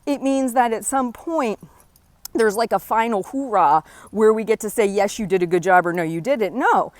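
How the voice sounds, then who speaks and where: A woman's voice: mid-range voice, moderate pace, no room sound. Woman in her 40s giving a pep talk on her podcast, earnest and direct, dead dry close mic. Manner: earnest, encouraging, direct address with frequent "right?". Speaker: podcast host. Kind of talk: motivational solo talk.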